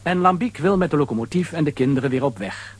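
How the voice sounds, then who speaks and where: A man with a husky voice narrating a scripted children's adventure story. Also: on the high side, animated, quick pace, very noisy.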